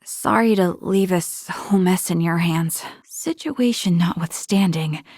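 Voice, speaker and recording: A woman's voice: lowish voice, unhurried, darkish tone, no room sound, studio quality. An actress voicing an earnest, dutiful young female military officer in a sci-fi video game, composed and articulate. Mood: reassuring.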